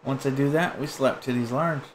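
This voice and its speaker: A man's voice: medium pitch, normal speed, background noise. A man streaming a video game, chatting casually with crude, joking banter while he plays. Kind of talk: casual gaming chat.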